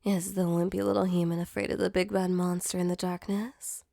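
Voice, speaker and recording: A woman voicing a female sleep paralysis demon in an intimate ASMR roleplay, with a soft, close delivery. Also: fairly low pitch, flat delivery, brisk, creaky, no room sound. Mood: taunting, menacing. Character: female sleep paralysis demon, mock-menacing turned tender and flustered.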